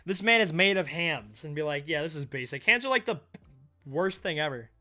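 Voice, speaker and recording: A man live-streaming a casual, excitable reaction read-through, swearing freely as he chats with viewers. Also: a little high, normal speed, soft background music. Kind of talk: casual livestream reaction chat while reading manga.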